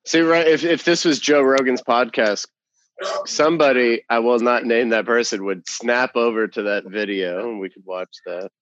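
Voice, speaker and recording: A man co-hosting a podcast over a video call, teasing the others with quick quips. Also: medium pitch, fairly bright tone, dry recording, lo-fi sound. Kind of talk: casual chat.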